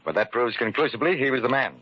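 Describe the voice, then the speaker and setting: An actor playing a brisk, self-assured, businesslike man in a 1940s radio mystery. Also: medium pitch, expressive, husky, no echo. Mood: approving. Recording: dull, noisy 1940s radio recording.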